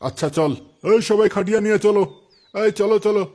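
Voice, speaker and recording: A man's voice: sits high, brisk, darkish tone, natural voice. A man playing a concerned village elder, an uncle leading a funeral in a moral cartoon story, deliberating as he talks. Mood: urging.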